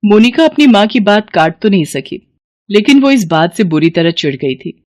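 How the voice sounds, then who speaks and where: A woman's voice: mid-range voice, big pitch swings, moderate pace, darkish tone. A woman reading a scripted moral story as its narrator.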